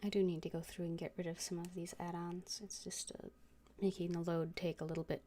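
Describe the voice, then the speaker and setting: A woman game streamer chatting casually to viewers in a hesitant, halting way. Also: fairly low pitch, little pitch movement, warm tone, a little hiss or hum. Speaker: game livestreamer. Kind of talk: casual livestream chat.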